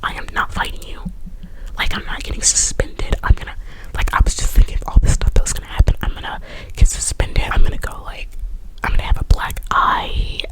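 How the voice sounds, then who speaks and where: A woman's voice: a little fast, low-quality recording. Whispering woman telling a chatty story. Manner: chatty, full of "like" and restarts; whispering throughout. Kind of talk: whispered ASMR story time.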